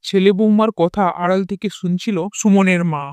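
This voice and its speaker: A man's voice: high-pitched, steady tone, brisk, darkish tone, clean tone, dry recording. A man narrating a scripted cartoon story as its storyteller.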